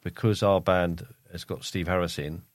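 A man's voice: low-pitched, fairly even intonation, moderate pace, no room sound, clean studio sound. A man chatting casually as a podcast co-host who is also a musician. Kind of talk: casual chat.

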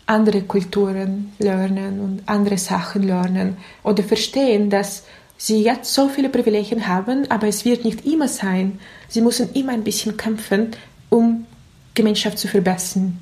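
A woman's voice: medium pitch, a little mellow. A woman who is not a native German speaker, with a foreign accent, giving reflective interview answers in careful, searching phrases. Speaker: interview guest.

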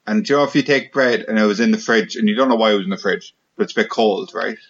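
A man's voice: on the low side, fast, fairly bright tone, slightly rough, muffled recording. A man hosting a podcast in casual chat, joking and rambling as he talks. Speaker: podcast host.

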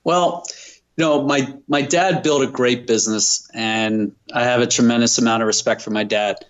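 A man's voice: mid-range voice, fairly even intonation, normal speed. Middle-aged man, slightly dull recording. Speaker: interview guest, company president. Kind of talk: conversational interview answers.

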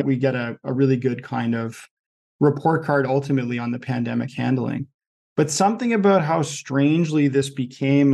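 A Canadian man in his own podcast discussion, with a voice of middling pitch. He thinks aloud as he goes, starting phrases over and leaning on "like" while he gathers his thought. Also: vocal fry, a little mellow. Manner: thinking aloud, many restarts and "like". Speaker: podcast host.

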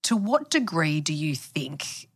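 Deep-voiced woman hosting a podcast. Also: big pitch swings, slow pace, slightly rough. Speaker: podcast host. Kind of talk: narrative interviewing.

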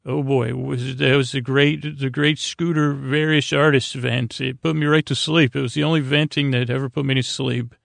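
An American man with a creaky, gravelly voice hosting a bedtime podcast in a meandering, halting monologue that trails off and circles back. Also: mid-range voice, flat delivery, a bit bright, no room sound, clean studio sound. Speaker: host of a bedtime sleep podcast.

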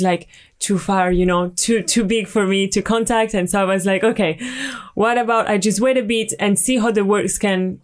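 Relaxed woman with a French accent. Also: medium pitch. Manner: relaxed, hesitant, with many fillers. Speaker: guest, pet grooming business founder. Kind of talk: casual interview chat.

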